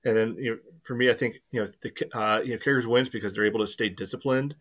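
A man giving his soccer views in a casual podcast chat, rambling and filling every pause with "you know". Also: mid-range voice, fairly even intonation, fairly bright tone.

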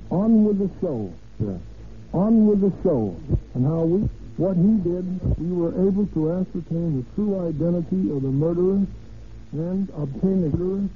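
Male lawman as radio guest: formal, stiff read, slightly high pitch, thin old transmission sound. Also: phone-quality sound, slight background noise. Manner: formal, read-aloud delivery. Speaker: deputy sheriff, guest speaker. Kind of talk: stiff scripted radio address.

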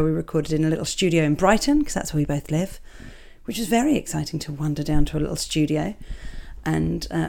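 A woman from England hosting a podcast with a croaky voice from a cold, chatting casually and reading out listener emails with self-deprecating asides. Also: deep voice, darkish tone. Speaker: podcast host. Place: small home office.

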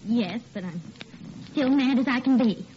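Woman acting as long-suffering American wife, high expressive voice, slow delivery, 1940s radio comedy, muffled noisy recording. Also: lightly acted. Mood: cross but softening. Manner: expressive, unhurried, emotional. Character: patient, sentimental wife and mother, long-suffering.

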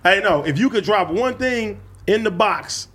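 A man's voice: high voice, lively intonation, a little slow, a bit bright, slightly rough. A man co-hosting a podcast, teasing his friends in slangy casual banter and laughing often. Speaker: podcast co-host.

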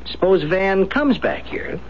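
An actor playing a cynical, flippant drinker in a radio drama, with a mocking, theatrical delivery. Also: male voice, high-pitched, sing-song, slow pace, heavily acted. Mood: sardonic. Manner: sardonic, theatrical. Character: cynical, boozy male companion, mocking, flippant. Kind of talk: 1970s radio drama acting. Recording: dull, noisy 1970s radio recording.

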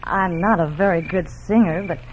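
A woman's voice: fairly low pitch, moderate pace, lightly acted. A woman playing a gracious, modest frontier wife in an old radio western, speaking politely. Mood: gentle. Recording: noisy, phone-like old radio recording.

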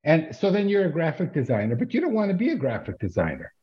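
A man hosting a conversational interview, asking questions in a halting, deliberate way with pauses. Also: on the high side, wildly swooping pitch, muffled recording. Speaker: interviewer, show host.

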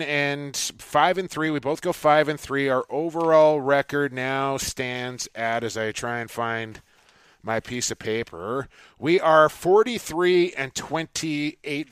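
Guy hosting a sports pod, casual chat, crisp voice, really dry sound. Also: on the high side, fairly bright tone, no room sound. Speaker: sports podcast host.

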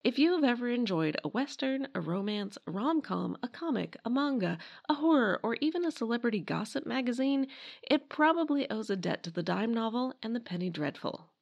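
A woman's voice: a little high, big pitch swings, brisk, gravelly, highs cut off. A woman narrating a scripted history talk in a conversational way, with wry asides. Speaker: podcast host and narrator.

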